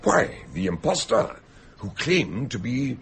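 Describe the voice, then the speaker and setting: An actor playing a genial, eccentric gentleman detective in a radio drama, with old-fashioned, hesitant phrasing. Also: medium pitch, sing-song, takes it slowly, vocal fry, slightly rough, full character voice. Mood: genial, explanatory.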